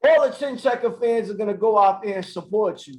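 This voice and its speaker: A male podcast host with a hoarse voice from yelling, hyped and playful as he talks with boxers. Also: sits high, brisk, rough recording. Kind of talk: casual interview.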